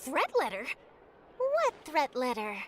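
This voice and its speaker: A woman's voice: high voice, takes it slowly, loud music behind the voice, full character voice. A woman voicing an eager young female reporter in a video game, perky, chatty and persuasive. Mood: surprised, curious. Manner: animated, chatty, confiding. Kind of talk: animated video game voice acting.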